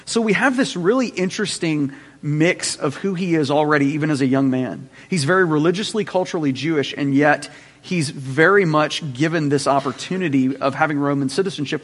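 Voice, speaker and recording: A man's voice: a little high, in a large hall. A man teaching a Bible class in a conversational way, checking in with his listeners as he explains.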